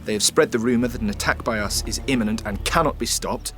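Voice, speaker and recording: A man playing a calculating, patient French king in a historical audio drama, with a measured, condescending manner. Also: mid-range voice, a bit bright, soft background music, close to an everyday voice. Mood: patronizing.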